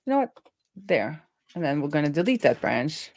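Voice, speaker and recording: A woman live-streaming as a programmer, thinking aloud in a casual, halting way as she mutters through her work. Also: low voice, very animated, darkish tone, a little hiss or hum. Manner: halting, muttering to herself while working.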